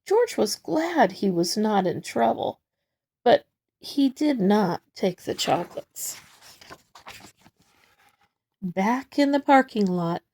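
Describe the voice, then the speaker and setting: A woman reads a picture book aloud for young children. Her voice is soft-edged and mellow, moving slowly with animated, expressive pitch. She leans on key words as she tells the story. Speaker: storybook reader. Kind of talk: children's read-aloud narration.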